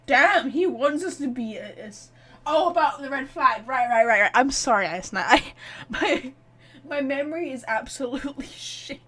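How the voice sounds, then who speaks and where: A woman's voice: sits high, animated, normal speed, a bit bright, slightly rough. A woman streaming a video game with casual, chatty, self-deprecating live commentary.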